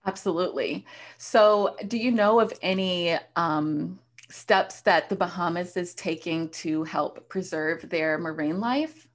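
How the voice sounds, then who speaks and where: A young woman with a lowish voice hosts a podcast conversation. She asks curious questions and encourages her guest along. She speaks into a desk microphone in a room at home. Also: a little slow. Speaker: podcast host. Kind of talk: casual interview. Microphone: desk podcast mic.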